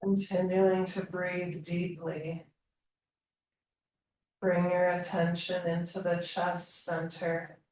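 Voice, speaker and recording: Echoey, slow-paced woman guiding meditation. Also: fairly low pitch. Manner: calm, soothing, long pauses between phrases. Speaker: guided meditation teacher. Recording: roomy echo, distant mic, faint noise.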